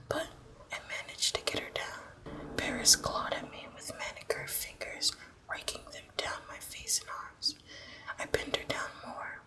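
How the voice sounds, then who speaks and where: A woman narrating a horror story as ASMR in a breathy, unvoiced whisper, with hushed, deliberate delivery. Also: extremely high pitch, low-quality recording.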